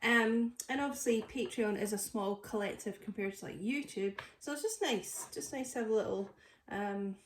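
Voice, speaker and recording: A woman's voice: medium pitch, normal speed, slight background noise. A woman with a stuffy nose from a cold, chatting casually through a tarot reading with lots of fillers. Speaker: tarot reader. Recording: echoey room.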